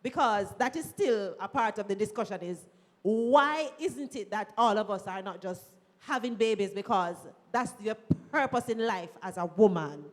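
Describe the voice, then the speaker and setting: A woman's voice: medium pitch, expressive, normal speed. A woman moderating a live panel discussion in a chatty, playful, teasing manner. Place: event hall, live audience. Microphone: handheld mic. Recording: long hall reverb at live event.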